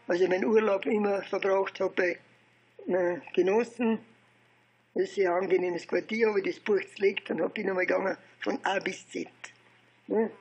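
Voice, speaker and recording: An elderly man with an Austrian accent and a husky voice, telling his recollections informally in a halting, digressive way with repeated phrases. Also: high voice, a little mellow, low-quality recording. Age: 70s or older. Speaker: interviewee recounting political and research past. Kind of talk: informal oral history storytelling.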